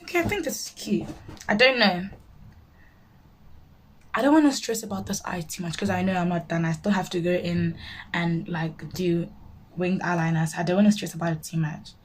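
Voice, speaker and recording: A woman's voice: fairly low pitch, moderate pace, long pauses, a little hiss or hum, poor audio. A nineteen-year-old woman chatting casually to viewers as she does her makeup, blunt and emphatic, often repeating herself for emphasis. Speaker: beauty vlogger. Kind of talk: casual chat while doing makeup, answering viewer questions.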